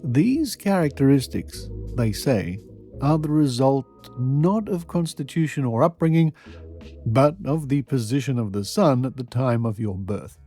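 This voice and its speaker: A man hosting a podcast, reading articles aloud with measured, deliberate phrasing. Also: mid-range voice, expressive, dark tone, faint music behind. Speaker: podcast host.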